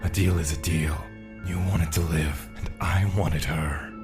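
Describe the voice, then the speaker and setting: A very low-voiced, menacing spirit, speaks with cold possessiveness over music. It is slow and threatening, in the manner of a fantasy audio drama villain. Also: male voice, steady tone. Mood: cold menace.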